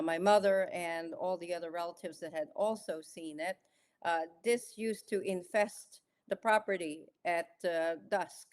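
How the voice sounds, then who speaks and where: Middle-aged woman from the philippines telling her story on a podcast, lowish voice, lots of um and uh. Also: darkish tone. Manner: measured, thoughtful, frequent "uh" and "um" pauses. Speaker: guest author.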